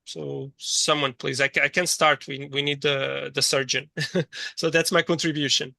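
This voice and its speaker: Bright, dry-voiced man lecturing, thinking aloud. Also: on the high side, little pitch movement, normal speed, creaky, dry recording. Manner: hesitant, thinking aloud with repeated words. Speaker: course lecturer. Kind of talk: interactive online teaching, reading chat replies.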